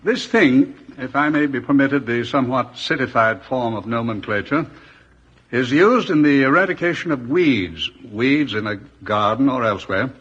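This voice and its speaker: An actor playing a pompous, wordy British police superintendent narrating an old radio drama, on a dull vintage recording. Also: male voice, mid-range voice, lively intonation, moderate pace, fairly bright tone, slightly rough. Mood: pompous, dry. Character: pompous police superintendent, custodian of a crime museum.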